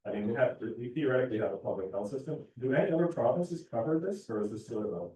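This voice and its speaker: A man's voice: medium pitch, animated, fast talker, mellow, slight background noise. A man asking a panel a question from the audience, hesitant and repeating his words. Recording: roomy, distant room mic.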